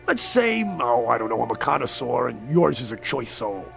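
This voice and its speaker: A man's voice: medium pitch, sing-song, moderate pace, vocal fry, a bit bright, heavily acted. An older man acting in a radio drama as a sly, gleeful devil posing as a crazy old man, with a wheedling, mock-polite manner. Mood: sly persuasion. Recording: music under the voice.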